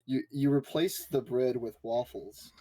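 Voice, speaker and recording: A man co-hosting a casual group Q&A who calls his own voice monotonous and talks with easy, playful fillers. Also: medium pitch, unhurried, no echo, poor audio.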